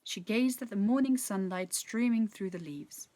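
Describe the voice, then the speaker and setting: A woman narrating a children's story in an expressive, measured, dramatic storytelling style. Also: medium pitch, no echo. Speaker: actor reading a story.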